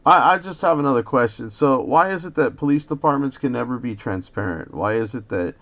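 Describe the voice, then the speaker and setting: A man's voice: medium pitch, lively intonation, a little fast, a bit bright. An American man, a citizen journalist on a phone call, arguing in an indignant, lecturing manner as he confronts the police. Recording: dull lo-fi phone call recording.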